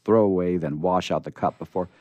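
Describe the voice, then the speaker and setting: A man's voice: low-pitched, a little fast, no echo, muffled recording. A man hosting a radio theatre, reading stories aloud live in a chatty way. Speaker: radio theatre host and reader. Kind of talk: live dramatic story reading. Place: live radio reading before a small group.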